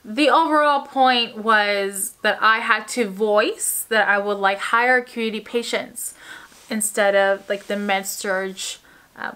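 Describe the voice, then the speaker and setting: A young woman nurse from Puerto Rico, chatting casually to camera in a quick, bubbly way with frequent fillers. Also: mid-range voice, pushed and bright. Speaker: ICU nurse vlogger.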